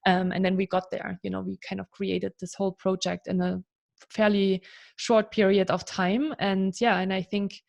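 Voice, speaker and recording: A woman's voice: medium pitch, little pitch movement, normal speed, gravelly, a little mellow. Chatty German woman, interview guest. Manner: chatty, many fillers like "kind of" and "you know". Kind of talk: casual interview conversation.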